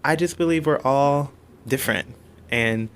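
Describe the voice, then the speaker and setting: A man's voice: medium pitch, unhurried, clear, resonant tone. A man in his twenties giving casual answers as an interviewee. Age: 20s.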